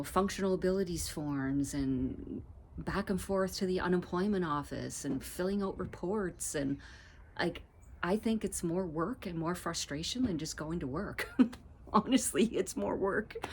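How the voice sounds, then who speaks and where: A low-pitched woman in her fifties chats casually in a vlog, sounding slightly stuffy from allergies. She rambles and laughs between thoughts. Faint outdoor ambience sits behind her on the deck, picked up by the camera mic. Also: darkish tone, a little hiss or hum. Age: 50s. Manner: chatty, rambling, laughs often. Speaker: vlogger. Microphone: camera mic, no lapel mic.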